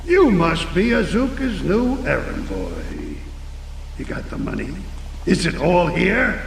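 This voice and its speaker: An actor playing a crooked, cynical city policeman in a video game, sardonic and conspiratorial, with a gravelly voice. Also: sits high, sing-song, slow pace, full character voice. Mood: shifty, demanding. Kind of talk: video game cutscene acting. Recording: echoing, reverberant, distant, faint noise.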